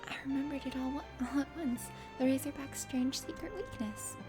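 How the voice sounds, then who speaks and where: High-voiced, eager young swordswoman played by a woman. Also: normal speed, natural voice. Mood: earnest. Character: earnest, brave young swordswoman in love. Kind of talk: fantasy dating game dialogue. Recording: music behind.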